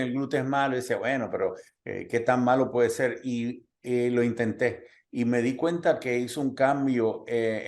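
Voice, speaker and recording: A man's voice: mid-range voice, darkish tone. Chatty man hosting a health talk. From Cuba, raised in Puerto Rico. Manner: chatty, many fillers, personal anecdotes. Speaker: host. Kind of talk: conversational interview.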